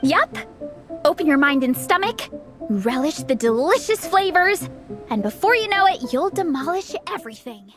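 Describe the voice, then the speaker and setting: A woman's voice: high voice, big pitch swings, moderate pace. A woman playing an upbeat, encouraging young female athlete in a video game, earnest and peppy. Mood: enthusiastic. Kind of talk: video game character acting. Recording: game music behind.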